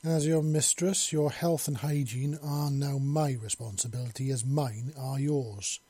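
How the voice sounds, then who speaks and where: A man's voice: mid-range voice, moderate pace, dark tone, slightly rough, dry recording, natural voice. A man voicing a curious, commanding female bird-creature mistress in an audiobook story, with formal and precise speech.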